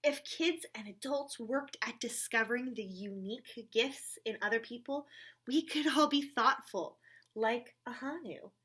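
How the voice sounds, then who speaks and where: A woman reading the part of a kind, supportive father in a children's storybook, gently encouraging his child. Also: fairly high pitch, a little slow, husky, voice pushed up. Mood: proud, encouraging.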